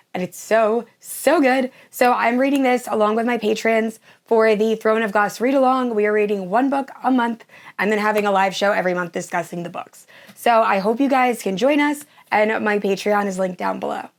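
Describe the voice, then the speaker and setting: A young woman hosting a casual book vlog, her voice hoarse and nearly gone after the flu, gushing about the books she plans to read. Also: a little high, normal speed. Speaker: book channel host.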